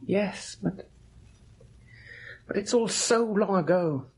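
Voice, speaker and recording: An actor playing a weak, gentle husband of about thirty in a radio drama, husky and halting, with a plaintive, weary manner. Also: male voice, high-pitched, full character voice. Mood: hesitant. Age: 30s. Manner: halting, plaintive, self-doubting. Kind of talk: old radio drama acting.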